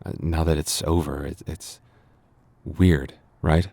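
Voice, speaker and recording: Man acting deep-voiced, flustered, lovestruck young guy. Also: steady tone, slow, dark tone, no room sound, heavily acted. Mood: wistful, anxious. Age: young adult. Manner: stammering, bashful. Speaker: voice actor. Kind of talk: boyfriend ASMR audio roleplay.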